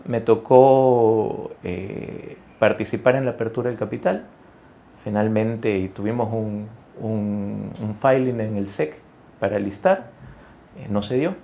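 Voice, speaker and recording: A man from Ecuador in his forties, talking through his career in a relaxed interview, with lots of fillers, restarts and tag questions. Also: mid-range voice. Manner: conversational, many fillers and self-corrections, tag questions. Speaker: guest executive. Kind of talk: interview conversation.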